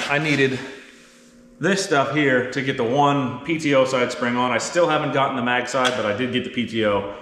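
A man in his thirties with a slightly low voice explains a hands-on installation in an informal, candid way, like a mechanic chatting to his viewers. The recording is made in a roomy garage, with a long echo and noticeable noise around him. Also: a bit bright. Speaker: mechanic and video host. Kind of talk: hands-on install tutorial, casual chat to camera. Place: roomy indoor garage workshop. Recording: echoey garage, clear background noise, clicks.

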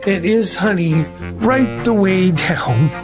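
A woman playing a slow, dreamy honey bear. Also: mid-range voice, music in the background, lightly acted. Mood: musing. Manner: slow, ponderous, musing. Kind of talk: audio drama acting.

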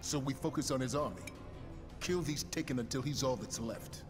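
An actor playing a stern, duty-bound military commander in a video game, firm, formal and authoritative. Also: male voice, medium pitch, normal speed, close to an everyday voice. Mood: stern, urgent. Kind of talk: cinematic game acting. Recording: reverberant hall, music behind.

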